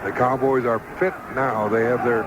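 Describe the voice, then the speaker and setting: American man, radio sportscaster, live football play-by-play, clipped phrasing, mid-pitched voice, lo-fi recording, very noisy background. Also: steady tone, moderate pace. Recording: dull lo-fi radio broadcast, loud noise.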